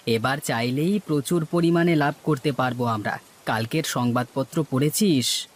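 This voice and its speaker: A man's voice: mid-range voice, measured pace, natural voice. A man voicing a cocky, scheming village market trader in a cartoon, bossy and teasing, with noise behind him. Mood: scheming, eager. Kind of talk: cartoon dialogue.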